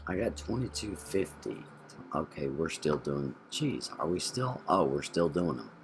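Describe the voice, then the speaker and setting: A man's voice: extremely low pitch, sing-song, a little slow, slight background noise. A man co-hosting a gameplay video, thinking aloud as he plays and correcting himself mid-sentence. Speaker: gameplay channel co-host. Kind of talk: casual gameplay commentary.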